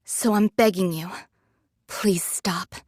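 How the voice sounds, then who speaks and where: A plain-spoken female android foot soldier talks in a medium-pitched voice. She is candid and earnest, with reproach mixed into her admiration. The game drama recording is very dry. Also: fairly even intonation, slow, a little mellow, no echo. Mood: imploring. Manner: frank, earnest, imploring.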